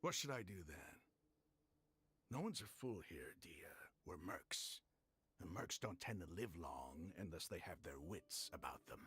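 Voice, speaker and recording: A man's voice: deep voice, animated, measured pace, fairly bright tone, breathy edge, heavily acted. An actor playing a proud, hostile male desert mercenary leader in a video game, speaking with scorn and drama. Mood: wary.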